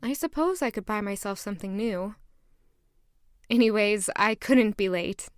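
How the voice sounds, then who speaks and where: A woman's voice: medium pitch, a little slow, clear, resonant tone, no room sound, studio quality, natural voice. An actress narrating as a young female castle maid, in an earnest, introspective first-person way. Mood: reflective. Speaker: narrator and lead character.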